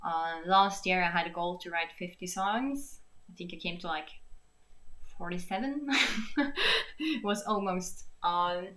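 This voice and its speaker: A woman's voice: on the low side, animated, unhurried, a bit bright, poor audio. Chatty Norwegian-accented young woman. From Norway. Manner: chatty, laughs often, searches for words. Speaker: singer-songwriter hosting a Q&A. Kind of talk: casual live Q&A chat.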